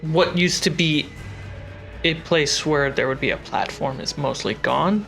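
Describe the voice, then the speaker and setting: A man acting as game master, narrating a tabletop adventure in a measured way with dramatic pauses. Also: fairly high pitch, creaky, fairly bright tone, faint music behind.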